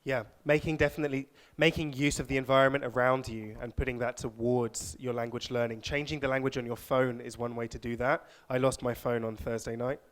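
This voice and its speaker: Male conference speaker, mid-pitched, steady and reflective. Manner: reflective, measured closing remarks. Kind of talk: conference talk with audience questions. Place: lecture hall.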